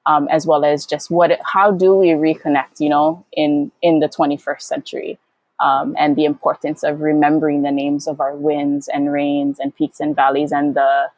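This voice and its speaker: Low-voiced, thoughtful woman from Hawaii. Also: level tone, darkish tone, no echo, poor audio. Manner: thoughtful, halting, searching for words. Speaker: guest, Hawaiian educator and activist. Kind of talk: reflective interview conversation.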